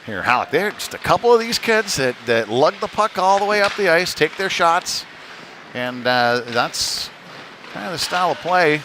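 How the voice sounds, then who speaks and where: A man giving halting, conversational live play-by-play of a youth hockey game in a folksy manner. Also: fairly high pitch, expressive, pushed and bright. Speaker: play-by-play commentator. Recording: noisy live rink stream.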